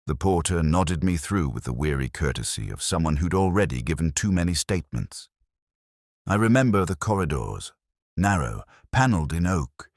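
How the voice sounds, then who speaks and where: Man playing a very deep-voiced, brooding older detective. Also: no echo, studio quality, exaggerated voice. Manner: measured, brooding, deliberate. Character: melancholy older male English police detective narrating his case. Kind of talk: scripted literary mystery narration, radio drama style.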